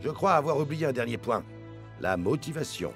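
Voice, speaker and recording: Man acting a cartoon football coach, commanding, earnest, lively intonation, gravelly edge, music behind. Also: mid-range voice, fairly quick, natural voice. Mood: serious. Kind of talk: animated cartoon dub.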